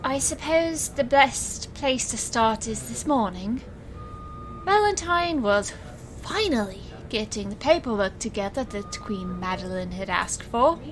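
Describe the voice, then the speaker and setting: Woman as slow-spoken, weary sardonic magician. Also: on the high side, animated, poor audio. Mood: solemn. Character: weary, sardonic female stage magician and capable office assistant. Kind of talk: audio drama character acting. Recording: faint noise.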